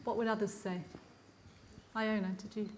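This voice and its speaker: A woman lecturer answering audience questions at a public lecture in a measured, reflective way. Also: medium pitch, level tone, a little hiss or hum.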